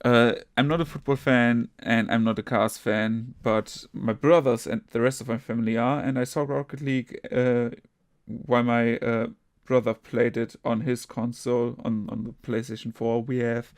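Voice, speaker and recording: A man's voice: medium pitch, fairly even intonation, normal speed, no room sound, studio quality. A young man from Germany with a German accent, hosting a casual gaming podcast, rambling with many fillers, restarts and casual swearing. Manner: rambling, lots of fillers and self-corrections. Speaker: podcast host.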